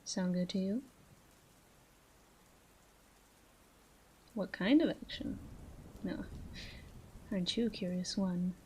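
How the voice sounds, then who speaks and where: A woman performs a seductive female crime boss in an intimate audio roleplay. Her voice is mid-pitched and mellow, with coy, teasing, self-assured phrasing. Some background noise sits under the recording. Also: natural voice, rough recording. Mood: confident flirtation. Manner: coy, teasing, drawn-out phrasing with breathy asides. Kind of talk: roleplay audio drama, addressed to listener.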